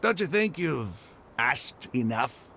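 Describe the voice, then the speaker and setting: An actor playing a scheming, zealous gang leader in a video game, sneering and theatrical. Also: male voice, mid-range voice, big pitch swings, slow, pushed and bright, a little hiss or hum, heavily acted. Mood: smug, demanding. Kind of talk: video game dramatic acting.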